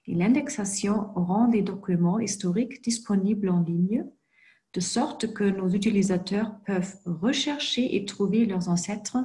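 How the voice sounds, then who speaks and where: Slow, careful woman. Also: medium pitch, mellow. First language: not French. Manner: slow, careful delivery with frequent hesitations. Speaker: presenter for a genealogy organization. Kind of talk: screen-share tutorial presentation.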